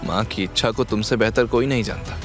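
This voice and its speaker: A man's voice: on the low side, brisk, fairly bright tone, faint music behind, natural voice. Man acting a theatrical middle-aged family patriarch. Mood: sad. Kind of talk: Hindi audio drama acting.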